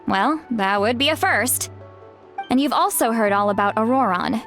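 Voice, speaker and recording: A woman's voice: a little high, lively intonation, normal speed, a bit bright, soft background music. A woman playing a young female seer in a video game, formal and aloof but self-conscious. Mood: composed. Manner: formal, self-conscious, punctuated by sighs and throat clearing.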